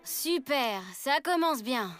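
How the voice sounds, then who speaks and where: A woman voicing a small, blunt, scatterbrained fairy student in an animated comedy, with a flippant, sassy delivery. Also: sits high, takes it slowly. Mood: grumpy. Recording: faint music behind the voice.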